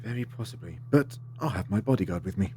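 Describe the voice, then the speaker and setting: A man's voice: lowish voice, animated, quick pace, dark tone, a little hiss or hum, lightly acted. A man acting in an audio drama as a polite, assured time-travelling adventurer, articulate and theatrical with a wry streak.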